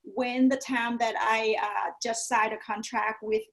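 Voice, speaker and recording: A woman's voice: on the high side, steady tone, measured pace, vocal fry, a bit bright. A woman from Thailand with a Thai accent, a native Thai speaker, talking personally and motivationally as an interview guest, often checking in with "right?". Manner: often adds "right?" and "you know". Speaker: guest, yoga teacher and business coach.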